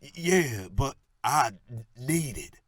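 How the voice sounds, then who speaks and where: A man's voice: mid-range voice, expressive, slow pace, slightly rough, no echo, studio quality. An actor playing a panicky, desperate gunman in an audio drama, stammering and wavering. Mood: nervous, wavering. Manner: stammering, jittery. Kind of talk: superhero audio drama acting.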